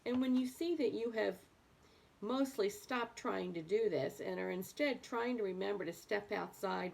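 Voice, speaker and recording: Slow-reading woman with a creaky voice. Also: medium pitch. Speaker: reader of a book aloud for adults. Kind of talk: expressive book reading.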